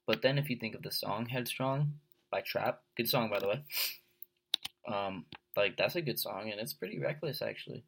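A man's voice: fairly low pitch, animated, normal speed, creaky, fairly bright tone, slight background noise. A young man from with a head cold and sore throat, hosting his own podcast in a rambling, laid-back way full of fillers. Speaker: podcast host. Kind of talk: casual solo podcast chat.

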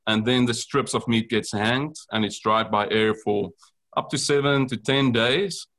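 A man's voice: mid-range voice, fairly even intonation, measured pace, a bit bright. A man with a South African accent, a company founder, explaining his business as a podcast guest in an earnest way, with many ums. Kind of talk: podcast interview.

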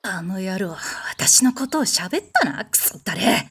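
A woman playing a haughty, short-tempered goddess in a comedy audio drama, brusque and imperious in rough phrasing. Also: mid-range voice, sing-song, measured pace, a bit bright, heavily acted. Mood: irritated.

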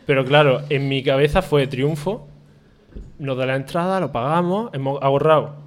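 A man's voice: medium pitch, moderate pace. A young man from Spain co-hosting a podcast, telling a story in a rambling, self-mocking way and laughing partway through sentences. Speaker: podcast co-host. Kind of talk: casual storytelling chat.

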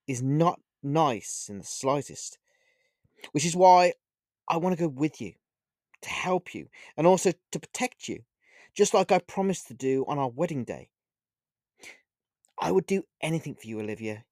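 A man voicing a centuries-old male vampire husband who talks in a flippant, coaxing, lively way. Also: on the high side, dry recording, clean studio sound, voice pushed up, lightly acted. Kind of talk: storybook character voice.

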